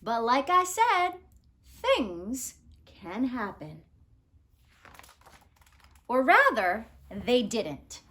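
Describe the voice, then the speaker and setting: A woman reading a picture book aloud to children in an expressive, theatrical way with deliberate phrasing. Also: fairly high pitch. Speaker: storybook reader.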